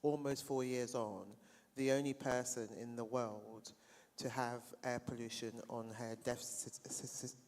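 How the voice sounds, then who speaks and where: A man speaking earnestly on a panel as a campaigner, halting and sometimes stumbling over words. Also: mid-range voice, flat delivery, darkish tone, in a large hall, slight background noise. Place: stage in a large hall.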